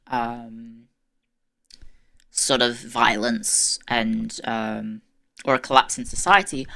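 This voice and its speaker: Woman, very deep voice, tired strained voice, casual lecture, lots of ums. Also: pushed and bright. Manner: chatty, self-deprecating, lots of ums and asides. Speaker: VTuber history lecturer. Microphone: desk microphone, peaking at times.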